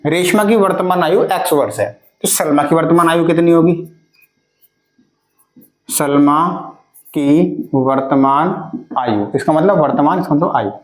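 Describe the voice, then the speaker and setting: A man in his twenties teaching math for an exam, addressing students directly and reading word problems aloud slowly, word by word. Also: fairly high pitch, echoey room. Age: 20s. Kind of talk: exam-prep video lesson.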